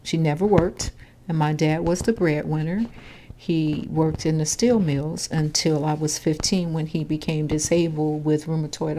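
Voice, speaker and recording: An older woman with a low voice talks steadily, matter-of-fact about her past. She is being interviewed at home by her granddaughter. A faint hiss sits under the recording. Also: low-quality recording. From Chicago, Illinois. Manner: calm, matter-of-fact storytelling. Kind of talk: oral history interview.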